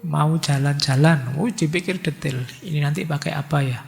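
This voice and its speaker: Gravelly guy preaching casual, kinda high voice, echoey hall, faint music under it. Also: moderate pace. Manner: chatty, mixes in Javanese words and acts out worried thoughts. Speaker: lecturer on philosophy and religion. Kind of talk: casual religious lecture.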